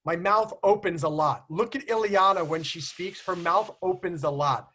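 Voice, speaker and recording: Lively high-voiced American man. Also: normal speed, slight background noise. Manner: animated, teasing, mimics accents. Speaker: host. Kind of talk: casual group video call chat. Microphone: video call.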